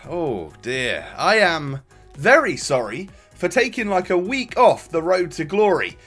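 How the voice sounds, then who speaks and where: A man's voice: on the high side, a little slow, faint music behind. A young man with a stuffy, congested voice, giving rambling casual commentary over his own football gameplay. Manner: rambling, relaxed, frequent fillers and restarts. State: congested from a cold. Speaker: gaming YouTuber. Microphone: desk microphone.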